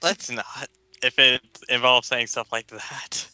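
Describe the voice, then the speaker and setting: A man's voice: medium pitch, steady tone, slow pace, sharp tone, no echo. A young man making dry wisecracks while friends read a fan story. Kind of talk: casual chat during a dramatic reading.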